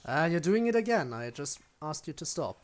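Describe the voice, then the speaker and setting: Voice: medium pitch, sing-song, moderate pace. A game streamer giving casual live gameplay commentary, talking half to themselves and half to the enemies on screen.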